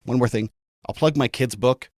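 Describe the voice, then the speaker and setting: A man's voice: mid-range voice, moderate pace, darkish tone, no room sound, clean studio sound. A man from Canada, a podcast guest, talking in a rambling, self-deprecating way with frequent restarts. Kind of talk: casual interview chat.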